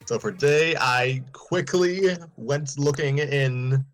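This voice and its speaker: A man's voice: medium pitch, slow, soft background music. A man hosting a casual livestream, rambling and correcting himself with chuckling asides. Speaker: host.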